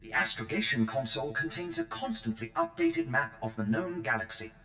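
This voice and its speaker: An actor playing a nervously eager servant droid in a video game, prim, formal and obsequiously polite. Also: male voice, fairly low pitch, wildly swooping pitch, fairly quick, creaky, pushed and bright, full character voice. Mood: fussy, eager. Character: fussy, servile, nervously eager male protocol droid servant. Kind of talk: scripted game character acting. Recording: roomy echo, long reverb, faint music.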